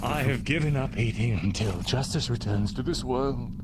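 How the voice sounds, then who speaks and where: A man's voice: medium pitch, moderate pace, background noise, lightly acted. A man playing a courteous, idealistic fantasy churchgoer in live roleplay, with a polite, theatrical, drily grandiose manner. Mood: mock-noble.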